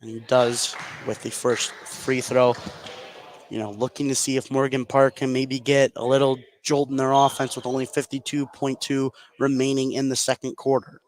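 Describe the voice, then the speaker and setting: A man's voice: medium pitch, little pitch movement, moderate pace. An American man calling live basketball play-by-play as a radio announcer. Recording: live gym broadcast, clearly noisy background. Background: noisy gymnasium ambience.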